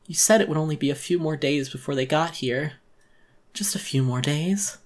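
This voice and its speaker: Male narrator as deranged she-beast, raised pitch, menacing, obsessive, anguished, horror audiobook. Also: moderate pace, natural voice. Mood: anguished, dazed. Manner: menacing, unhinged, dramatic. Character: deranged female animal monster, vicious, obsessive and anguished.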